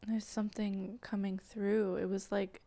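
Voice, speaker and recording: A woman leading an improvised guided meditation in a soft, breathy voice that often slips toward a whisper, speaking gently and haltingly with long pauses. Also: medium pitch, flat delivery. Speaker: guided meditation host and channeler.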